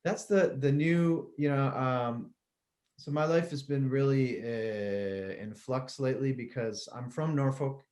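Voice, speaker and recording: Relaxed, rambling middle-aged man. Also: mid-range voice, unhurried. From Connecticut, US. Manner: relaxed, rambling, laughs easily. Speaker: musician guest. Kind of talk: casual interview chat.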